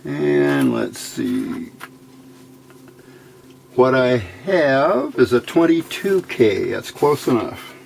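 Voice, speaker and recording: Male hobbyist for hands-on tutorial: gravelly, very slow delivery, short muttered fragments, homemade workbench recording. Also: medium pitch, big pitch swings, darkish tone, a little hiss or hum. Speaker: electronics hobbyist demonstrating a build. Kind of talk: casual hands-on tutorial, talking while working. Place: indoor workbench.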